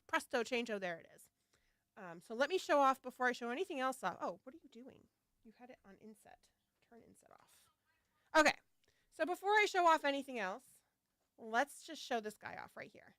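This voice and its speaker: A woman's voice: fairly high pitch, fairly bright tone, clean tone, dry recording. A woman hosting a casual livestream for her shop, chatty and a little scattered, with a slightly nasal voice from a head cold. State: getting over a head cold. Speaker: yarn shop owner hosting a launch livestream.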